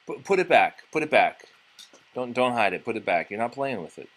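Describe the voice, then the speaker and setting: A man's voice: medium pitch, sing-song, a little fast, a bit bright. A man reading a children's storybook aloud as a parent, expressively, with explanatory asides to children.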